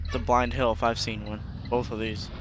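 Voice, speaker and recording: A man's voice: medium pitch, fairly quick. A man chatting casually while live-streaming a bus-driving game, rambling and laughing often as he reads chat aloud, his voice a little worn. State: slightly worn voice from yelling the night before. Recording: steady engine and traffic noise from the game.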